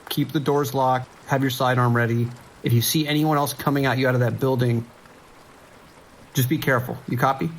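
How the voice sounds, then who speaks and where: A man's voice: medium pitch, fairly even intonation, fairly quick, natural voice, low-quality recording. A man playing an anxious police dispatcher in an audio drama, urgent and clipped, holding the line. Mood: tense, worried. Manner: urgent, clipped radio procedure, hesitant when shaken. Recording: rain and ambient noise behind.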